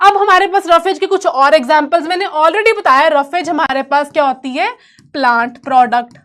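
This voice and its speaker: Very high-pitched Indian woman teacher. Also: normal speed, a bit bright. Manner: playful, teasing, jokey; mixes Hindi and English. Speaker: teacher of an online biology class. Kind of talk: informal live online lesson, chatting with students.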